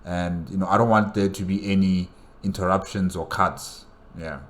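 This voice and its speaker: A man with a low voice hosts a reaction video. He talks casually and hesitantly, circling back over phrases as he rambles through his thoughts. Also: fairly even intonation, normal speed, fairly bright tone.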